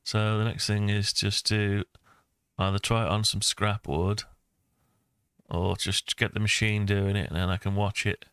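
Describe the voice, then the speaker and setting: A man's voice: fairly low pitch, flat delivery, measured pace, a bit bright, clear, resonant tone, dry recording, clean studio sound. A man in his sixties giving a casual screen-recorded tutorial, rambling and thinking aloud as he goes. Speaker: maker and video host.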